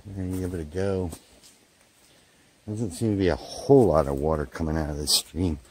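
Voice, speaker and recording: Deep-voiced man narrating an outdoor hike. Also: normal speed. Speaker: hiking vlogger. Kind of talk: casual hiking narration. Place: outdoors on a wooded gorge trail by a stream. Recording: outdoor stream noise behind.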